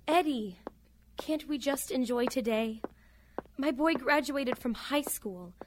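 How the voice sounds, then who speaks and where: A woman's voice: high-pitched, takes it slowly, noisy background. A woman playing a loving, anxious mother with a pleading manner in a radio drama. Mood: wistful pleading. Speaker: actor in an amateur radio drama.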